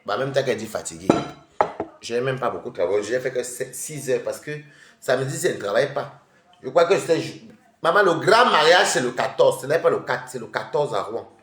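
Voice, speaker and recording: A man's voice: mid-range voice, animated, fairly quick, fairly bright tone. Man, stuffy head cold, rambling live-stream chat, roomy echo. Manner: chatty and rambling, addressing viewers directly. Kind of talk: casual live-stream chat while eating.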